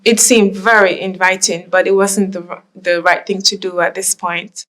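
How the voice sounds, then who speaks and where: A woman from Jamaica speaks with earnest reflection, circling back to her points as she talks. Her voice sits at a middle pitch. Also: flat delivery, normal speed, fairly bright tone. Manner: reflective, earnest, repeats herself. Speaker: interviewee, immigrant mother. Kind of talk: documentary interview.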